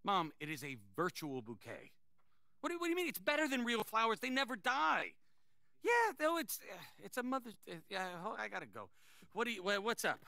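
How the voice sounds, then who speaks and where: An actor playing a fast-talking, overeager small-time sports agent with an emphatic, grandiose sales pitch in a video game cutscene. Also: male voice, wildly swooping pitch, gravelly, bright, forceful tone, no room sound, clean studio sound, full character voice. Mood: flustered, defensive. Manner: emphatic, theatrical, salesman-like pep talk.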